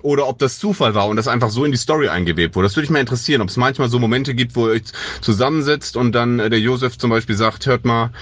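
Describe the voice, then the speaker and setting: A man's voice: medium pitch, fast. A man with an agreeable voice, talking casually and at length in a listener's voice message. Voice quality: pleasant, agreeable voice. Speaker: listener sending a voice message question.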